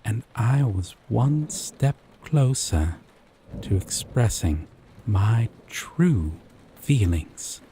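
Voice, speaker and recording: A man playing a gentle but obsessive, possessive dating-sim character in an intimate roleplay monologue, with soft breathy delivery and lingering pauses. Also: lowish voice, expressive, a little hiss or hum. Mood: tender, smitten.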